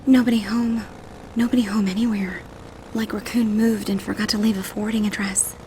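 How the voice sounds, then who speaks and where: A woman's voice: medium pitch, steady tone, fairly quick, dark tone, slight background noise, close to an everyday voice. A woman playing an anxious, wry young college student who scolds herself in a dramatized audiobook. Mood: uneasy.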